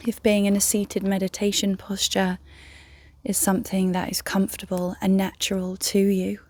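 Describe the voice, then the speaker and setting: A woman leading a guided meditation in a soft, breathy, near-whispered voice, gently and soothingly, with long pauses. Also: medium pitch, monotone, dry recording. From England. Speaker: meditation guide, yoga teacher.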